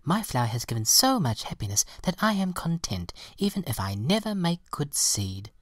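A woman voicing a gentle, patient, cheerful little violet flower in a children's story, soothing and optimistic. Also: low voice, big pitch swings, moderate pace, dry recording, clean studio sound, pitched above the performer's own voice. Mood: contented.

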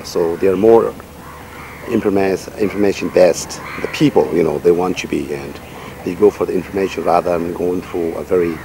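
Deep-voiced Nepali man, measured and thoughtful. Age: middle-aged. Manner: measured, thoughtful, many fillers like "you know". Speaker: poet and writer, interview guest. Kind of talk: reflective interview answers. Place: outdoor garden. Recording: outdoor recording with noticeable background noise.

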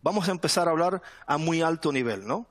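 A middle-aged man from Cuba giving a webinar presentation over a headset from home, relaxed, often repeating words and adding "¿no?". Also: on the high side, brisk, dry recording. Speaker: guest speaker, sales manager. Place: home room indoors. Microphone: headset on video call.

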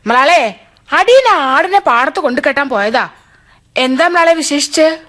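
A woman's voice: high-pitched, expressive, normal speed, fairly bright tone. A woman playing a deferential, inquisitive village goatherd in a radio drama. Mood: deferential, curious. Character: curious, deferential village neighbour woman with goats.

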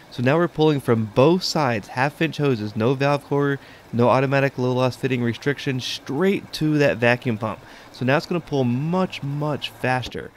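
A man narrating an HVAC lesson in a plain, step-by-step way, pausing now and then with an "uh". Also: mid-range voice, no room sound, clean studio sound. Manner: plain, step-by-step explaining with occasional "uh". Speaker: HVAC instructor. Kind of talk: explanatory voice-over narration.

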